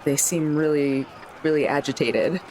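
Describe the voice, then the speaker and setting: A man's voice: on the high side, steady tone, a little slow, a little mellow, poor audio. A man playing a tabletop game, casually narrating a character in a rambling, self-interrupting way. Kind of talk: casual improvised roleplay narration. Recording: faint background noise.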